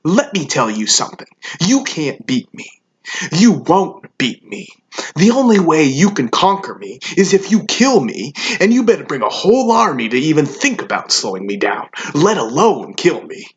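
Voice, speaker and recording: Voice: medium pitch, big pitch swings, normal speed, muffled recording, close to an everyday voice. An actor playing an obsessed, aggressive fighter who challenges a rival with emphatic, confrontational questions in a dramatic monologue. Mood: fierce, defiant. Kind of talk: intense motivational dramatic monologue.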